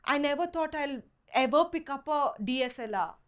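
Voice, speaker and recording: A woman's voice: high-pitched, expressive, normal speed. Chatty young woman with Kannada accent. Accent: South Indian Kannada. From Karnataka, India. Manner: chatty, many "like" and "you know" fillers. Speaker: guest photographer. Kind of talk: casual podcast interview.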